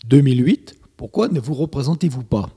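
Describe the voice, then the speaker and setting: A man interviewing a village mayor, asking measured, formal questions. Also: mid-range voice, animated, dark tone, slightly rough, long reverb tail.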